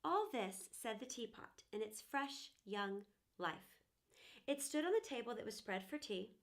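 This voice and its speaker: Lady doing kids storytime, high pitched voice, dramatic emphatic read aloud. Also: lively intonation, a little slow. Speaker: children's storytime host.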